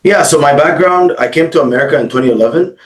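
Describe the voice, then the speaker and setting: A man in his thirties from Egypt and Dubai, telling his story as an interview guest in a casual, fast way with lots of fillers. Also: fairly high pitch, reverberant. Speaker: guest, agency founder.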